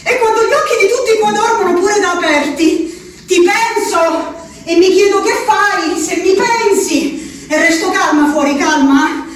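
Very high-voiced, anguished Abruzzese asylum inmate. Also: female voice. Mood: strained, defiant. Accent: central-southern Italian, Abruzzese. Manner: rustic, halting, tagging phrases with "eh". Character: anguished peasant wife shut in an asylum, pleading. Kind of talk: theatrical monologue, letter spoken aloud. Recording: roomy, distant stage sound, faint noise.

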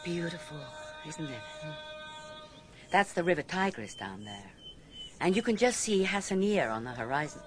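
An actress playing an elegant, brittle archaeologist's wife in a radio drama, gracious and poised but uneasy. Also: female voice, lowish voice, very animated, normal speed, long pauses, over music. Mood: composed.

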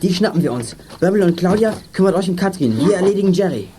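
A man's voice: sits high, animated, fast, dark tone, a little hiss or hum. A young male actor playing an earnest, assertive teenage boy detective in a children's radio play. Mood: tense, commanding. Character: plucky teenage boy detective, bossy and outspoken. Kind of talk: 1980s German children's radio play acting.

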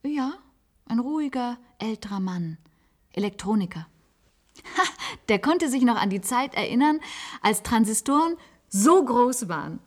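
An actress playing a wistful, resigned former secretary in a German science-fiction radio play, confiding in halting phrases. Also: female voice, fairly high pitch, animated. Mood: reflective. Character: wistful former secretary, resigned patient living in an isolated institute.

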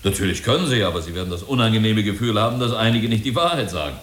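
Radio play corporate spokesman: low-voiced, polite and slick, in a reverberant room. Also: lively intonation, rapid-fire, a bit bright. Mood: jovial, officious. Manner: officious, polite, wordy. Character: glib, jovial, evasive male company representative. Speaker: actor in a radio drama. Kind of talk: German radio drama acting. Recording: roomy, echoing studio scene.

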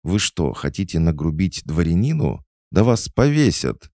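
A man's voice: sits low, very animated, moderate pace, dark tone, no echo, clean studio sound, pitched above the performer's own voice. A man voicing a timid, flustered young woman who manages a park, hesitant and pleading, in storybook narration. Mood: indignant.

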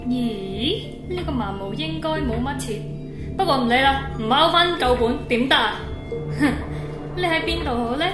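A woman's voice: high-pitched, expressive, normal speed. A woman playing an aggrieved, scheming female ghost in a radio drama, speaking with a Hong Kong Cantonese accent. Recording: echoey voice over loud music.

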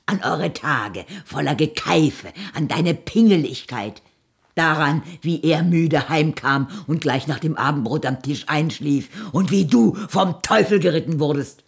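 An actress voicing a drink-worn, loyal maidservant in a German radio play, plaintive and full of reproach. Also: female voice, low voice, a little slow, gravelly. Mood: reproachful.

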